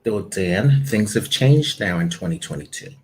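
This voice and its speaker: Give me a man with a fairly low voice as a voiceover director being interviewed. He talks in a loose, conversational way and often pauses with ums and restarts mid-sentence. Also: animated, darkish tone, slight background noise, low-quality recording. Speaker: guest, voiceover audition director. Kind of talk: casual interview answers.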